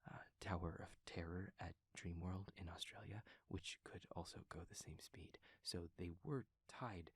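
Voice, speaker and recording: A man playing an awkward, earnest young demon classmate, speaking softly and breathily with hesitant stammers and stiffly formal wording in an intimate audio roleplay. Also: sits low, steady tone, moderate pace, hardly any pauses, dry recording. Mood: soft, soothing recital. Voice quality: soft, breathy, husky. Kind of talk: intimate audio roleplay, whispery ASMR-like acting.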